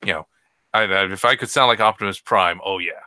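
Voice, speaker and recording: Bright-toned man rambling in casual chat. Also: sits low, brisk, dry recording, clean studio sound. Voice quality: trained voice, speaks from the throat. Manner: rambling, hesitant, many fillers.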